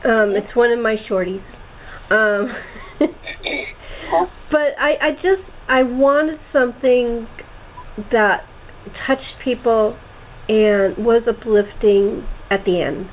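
Female chat host, higher-pitched, quick and effusive, phone-quality sound. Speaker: host and playwright. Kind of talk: casual group chat, interviewing cast. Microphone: internet call. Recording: tinny phone-like line, noisy.

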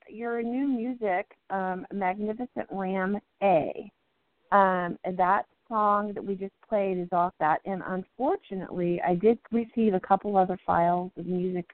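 A woman hosting a live indie music show, raspy from the flu, talking haltingly with many ums. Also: mid-range voice, fairly even intonation, no room sound. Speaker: radio show host. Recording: tinny phone-like line.

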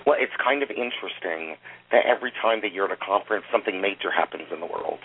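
Low-voiced Southern man on a phone line. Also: fast talker, slightly rough. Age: 40s. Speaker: radio show co-host. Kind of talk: casual talk radio chat. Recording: phone-line sound with faint noise.

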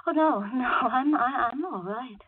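A woman's voice: high-pitched, unhurried, slightly rough. An actress playing a frightened young woman in a 1940s radio thriller, with a tense, melodramatic delivery that stammers under fear. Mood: nervous, hesitant. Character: frightened young woman motorist, anxious, trapped, narrating her ordeal. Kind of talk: 1940s radio thriller acting, suspenseful first-person narration. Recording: noisy, tinny old 1940s radio recording.

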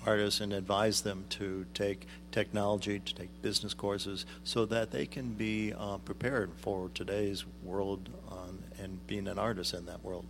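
A middle-aged man, a guest on a radio interview, explaining things in a measured way with frequent ums. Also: lowish voice, flat delivery, vocal fry, noisy background. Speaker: guest, arts executive director.

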